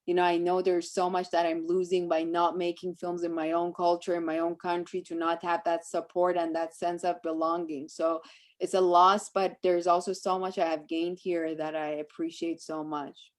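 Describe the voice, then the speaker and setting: Low, even-voiced Iranian-born woman, reflective. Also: normal speed. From Iran. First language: Persian. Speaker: guest speaker, filmmaker. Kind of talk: reflective conversational talk about own work.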